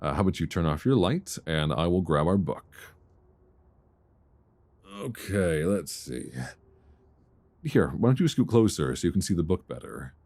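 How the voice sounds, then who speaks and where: A man playing an affectionate, bookish boyfriend in an intimate ASMR roleplay, speaking softly, tenderly and soothingly close to a binaural microphone. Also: low voice, sing-song, full character voice. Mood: cozy, sleepy. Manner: soft, tender, unhurried, soothing.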